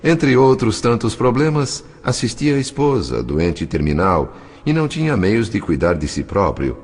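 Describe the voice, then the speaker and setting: A man reading scripted literary narration as an audiobook narrator, in a measured, formal storytelling manner. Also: fairly low pitch, very animated, a little mellow, soft background music.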